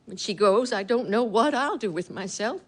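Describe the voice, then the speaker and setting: An actress in an audio drama playing an older, doting aunt, fond, fluttery and gently prying. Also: female voice, on the high side, expressive, normal speed. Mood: sorrowful. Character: doting, prying, kindly old maiden aunt. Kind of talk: theatrical audio drama acting.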